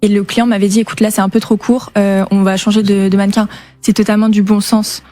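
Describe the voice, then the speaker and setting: Flat, fast-talking young woman on radio. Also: mid-range voice, no echo. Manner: hesitant, full of fillers. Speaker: model, caller. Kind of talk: explaining her case on live radio.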